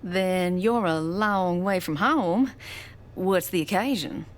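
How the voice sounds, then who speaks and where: A woman's voice: on the low side, slow. A woman playing a timid, curious small-town diner waitress in an audio drama, hesitant and unsure.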